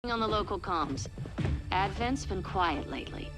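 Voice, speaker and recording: Resistance leader, female actor: medium pitch, matter-of-fact game radio comms, loud score. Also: expressive, measured pace, over music, natural voice. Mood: wry, calm. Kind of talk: video game cutscene acting.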